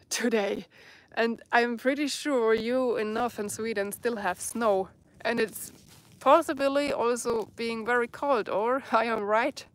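A woman in her thirties with a German accent, reading viewer comments on a live stream at night in the forest, searching for words in English. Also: medium pitch. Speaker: bushcraft vlogger. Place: outdoors in a dark forest at night by a campfire.

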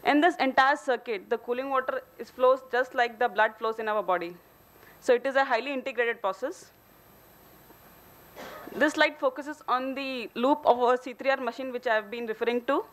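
A woman's voice: fairly high pitch, normal speed, low-quality recording. A woman with an Indian accent giving a formal technical presentation at a conference. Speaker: company engineer presenting a case study. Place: hotel conference hall, at a podium on stage.

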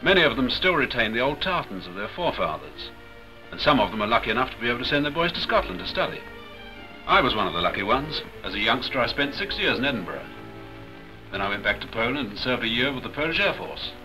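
An actor in his thirties playing a polite, modest Polish Air Force flight lieutenant who speaks crisp, careful English, in a 1940s film. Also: medium pitch, big pitch swings, quick pace, natural voice, rough recording. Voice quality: crisp, well-spoken English. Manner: modest and courteous. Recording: 1940s film soundtrack with music behind.

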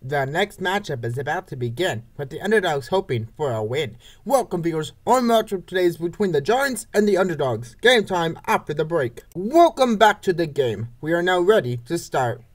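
A man narrates a baseball game as scripted play-by-play. His voice sits fairly high and swings up and down in a very animated way. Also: moderate pace, hardly any pauses. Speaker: narrator of a comic dub series.

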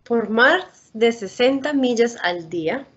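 Lively high-voiced woman reading, muffled audio. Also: measured pace. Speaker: storybook reader. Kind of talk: children's picture book read-aloud in Spanish. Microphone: video call. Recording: muffled, lo-fi video call audio.

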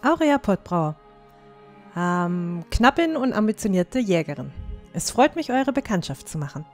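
A woman's voice: mid-range voice, big pitch swings, unhurried, a little mellow, faint music behind. A woman playing a plucky, teasing young dwarf squire in a fantasy audio drama, down-to-earth and matter-of-fact. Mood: friendly.

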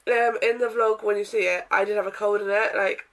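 A young woman vlogging casually from her bedroom, with a croaky voice from a sore throat, chatting in a rambling way with lots of ums and throat clearing. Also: mid-range voice, steady tone, brisk, rough recording. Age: young adult. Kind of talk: casual day-in-my-life vlog. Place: bedroom, indoors.

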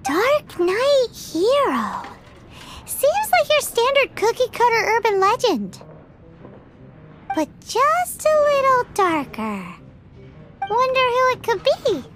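A woman voicing a tiny, excitable fairy girl companion in a video game, with a squeaky childlike voice. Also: slow pace, heavily acted. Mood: pondering. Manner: excitable, exclaiming, refers to herself by name. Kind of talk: video game character acting. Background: music.

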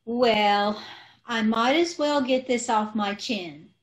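A woman as a slow-talking, scatterbrained radio-comedy wife. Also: fairly high pitch. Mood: innocently evasive. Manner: deadpan, unhurried. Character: scatterbrained, artfully innocent wife, mangles sayings. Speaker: amateur radio troupe actor. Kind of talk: old-time radio comedy acting. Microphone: video call.

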